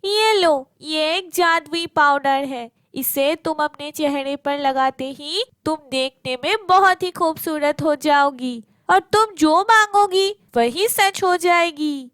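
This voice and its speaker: A woman voicing a grateful, kindly young fairy in a Hindi animated fairy tale. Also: high voice, slow, hardly any pauses, no room sound, clean studio sound. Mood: grateful, gracious.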